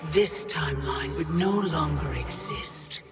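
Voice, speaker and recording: A woman voicing a cold ancient female keeper of time, speaking in a slow, measured, imperious way in a video game cutscene. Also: low voice, expressive, gravelly, over loud music, heavily acted. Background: loud orchestral score.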